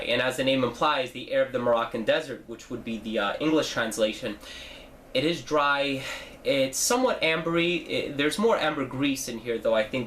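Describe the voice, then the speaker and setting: A man's voice: mid-range voice, fairly bright tone, slight background noise. A young man with a stuffy nose from a cold, hosting a casual fragrance review channel and talking in a chatty way with many fillers. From New Jersey. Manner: chatty, with frequent fillers and self-corrections.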